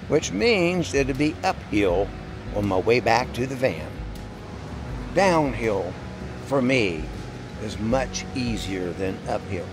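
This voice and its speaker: A man in his sixties narrating a casual outdoor travel vlog in a plainspoken, folksy way. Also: mid-range voice. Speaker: travel vlogger and hiker. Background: music.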